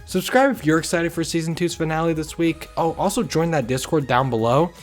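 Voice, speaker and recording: A man's voice: on the high side, fairly quick. A man hosting a video review, delivering scripted commentary in an informal, opinionated way. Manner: informal, opinionated, occasional swearing. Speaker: host of a video review channel. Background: music under the voice.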